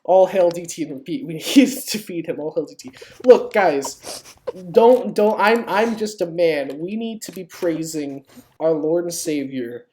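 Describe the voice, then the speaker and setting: A woman's voice: on the low side, lively intonation, moderate pace, warm tone. A woman giving casual live game stream commentary, informal and sweary, jokingly pleading with viewers. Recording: clearly noisy home stream, keyboard clicks.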